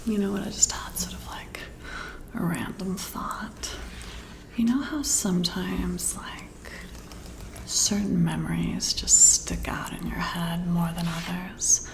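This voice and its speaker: Female ASMR performer, soft-spoken and half-whispered, slow and intimate, playing an appreciative boss in one-sided chat. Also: lowish voice. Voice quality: soft, breathy whisper with creaky edges. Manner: unhurried, flattering, intimate, as a friendly boss.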